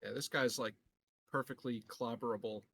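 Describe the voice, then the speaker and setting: A man's voice: mid-range voice, fairly even intonation, moderate pace, creaky, fairly bright tone, no room sound, clean studio sound. A man playing a tabletop roleplaying game, talking casually and jokingly about his moves. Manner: jokey, playful.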